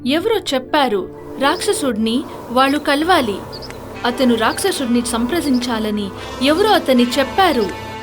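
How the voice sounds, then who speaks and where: A woman's voice: high-pitched, animated, moderate pace, long pauses, music in the background. A woman narrator reading a scripted fairy tale for children.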